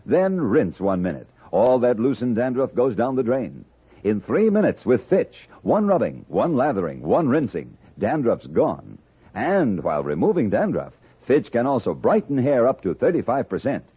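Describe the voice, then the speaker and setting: American guy doing old radio commercial, really raspy, tinny dry sound, pitching hard. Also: big pitch swings, moderate pace, dry recording. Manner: salesmanlike, emphatic. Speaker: commercial announcer. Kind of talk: vintage radio advertisement read.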